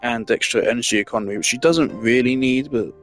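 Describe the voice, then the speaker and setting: A man's voice: mid-range voice, quick pace. Man musing over a game, music behind. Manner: musing, wry, trailing off. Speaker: game streamer. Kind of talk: casual reaction commentary over a game.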